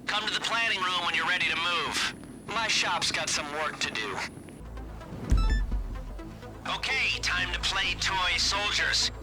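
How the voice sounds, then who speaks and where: An actor playing a nervy, wisecracking heist planner in a video game, rambling through briefings with hesitant, wry asides. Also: male voice, high voice, lively intonation, normal speed, low-quality recording. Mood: brisk, urgent. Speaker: heist planner giving mission instructions. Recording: loud music behind the voice. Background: loud music.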